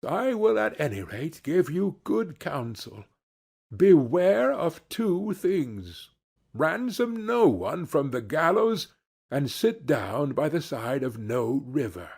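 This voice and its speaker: A man voicing a wise old fox who gives earnest, deliberate counsel in a storybook reading. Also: a little high, big pitch swings, vocal fry, a little mellow, slightly rough, heavily acted. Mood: solemn warning. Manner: instructing, deliberate.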